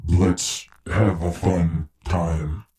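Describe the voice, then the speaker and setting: A woman voicing a menacing villain in a slow, ominous, drawn-out manner for a podcast skit. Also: rumbling low, level tone, dark tone, pitched below the performer's own voice, exaggerated voice.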